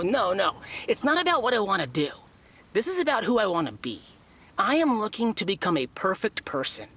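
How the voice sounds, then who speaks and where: An actress playing a confident, self-satisfied tournament challenger in a video game, with a lofty, self-assured manner. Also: female voice, medium pitch, fairly bright tone.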